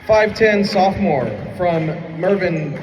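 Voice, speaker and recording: A man's voice: high voice, takes it slowly, dull audio, slight background noise. A man making formal basketball announcements over a gym PA in a projected showman's delivery. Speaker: public address announcer. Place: indoor college basketball gym. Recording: echoey PA in a gym, distant mic.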